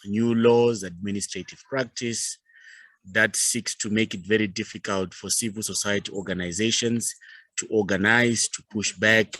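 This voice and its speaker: A man with a Zimbabwean accent giving a formal presentation as a youth organization leader, speaking in a measured, formal way with pauses. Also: fairly low pitch, fairly even intonation, bright, forceful tone, no room sound.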